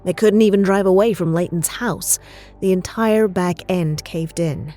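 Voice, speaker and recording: An actress playing a calm, conciliatory FBI agent with a measured manner in an audio drama. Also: female voice, lowish voice, faint music behind, lightly acted. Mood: serious. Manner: measured, reassuring.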